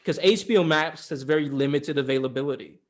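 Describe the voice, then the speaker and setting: A man with a fairly high-pitched voice talks casually as a review co-host. He gives opinions in a halting way, often restarting his phrases.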